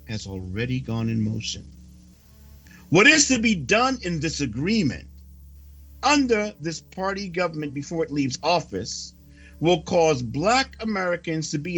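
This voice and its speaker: Raspy man preaching with wide, swooping pitch. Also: sharp tone. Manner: solemn, declamatory, with dramatic pauses. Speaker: preacher reading a prophecy report. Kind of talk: sermon-like reading of prophecy.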